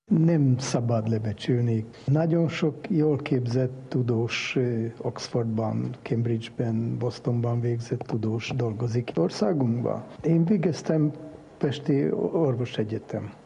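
A man playing an earnest foreign geneticist in a mock interview, speaking broken Hungarian with a foreign accent, haltingly mixing in English terms. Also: medium pitch, vocal fry, mellow, husky. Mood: earnest, explaining. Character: earnest foreign cloning scientist from an island dictatorship, broken Hungarian. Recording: muffled lo-fi with faint background noise.

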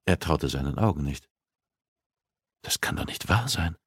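A man's voice: very deep voice, animated, unhurried, a bit bright, slightly rough, dry recording, clean studio sound. A man reading a thriller novel aloud as scripted audiobook narration.

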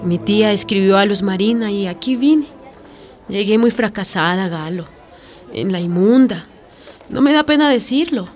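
Radio drama role: resilient young Colombian refugee played by a woman, chatty, slangy, medium pitch, music underneath. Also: normal speed, natural voice. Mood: sorrowful, resilient. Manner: animated, familiar, slangy.

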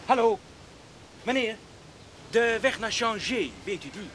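An actor playing a timid, polite young student farmhand in a radio play, hesitant and deferential. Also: male voice, very high voice, lively intonation, measured pace, fairly bright tone, heavily acted. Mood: politely hesitant. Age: young adult. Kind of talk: Dutch radio drama acting. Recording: clear background ambience noise.

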